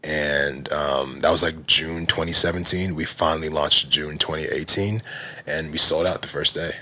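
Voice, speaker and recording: Very deep-voiced man telling his story. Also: normal speed. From Nigerian heritage. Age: 30s. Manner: relaxed, heavy use of fillers like "you know" and "like". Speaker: guest, fitness brand founder. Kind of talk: casual conversational storytelling.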